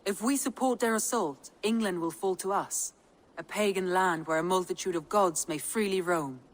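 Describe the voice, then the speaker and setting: An actress playing a serious, assured Viking war leader in a video game, with a formal, matter-of-fact manner. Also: female voice, on the low side, normal speed, lightly acted. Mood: serious, confident. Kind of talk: video game dialogue acting.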